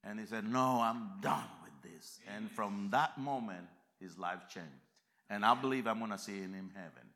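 A man from America, preaching a sermon in a church, emphatic and swinging between quiet reflection and loud fervent peaks. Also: fairly low pitch, normal speed. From Central America. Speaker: visiting preacher.

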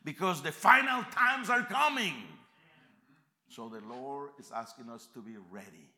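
A man from America, preaching a sermon in a church, emphatic and swinging between quiet reflection and loud fervent peaks. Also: a little high, lively intonation, unhurried. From Central America. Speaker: visiting preacher.

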